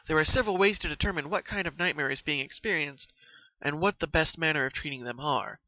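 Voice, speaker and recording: A man's voice: a little high, fast, sharp tone, dry recording, voice pushed up, poor audio. A man voicing a studious, caring female unicorn pony, speaking earnestly and articulately in a cartoon story reading. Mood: bookish.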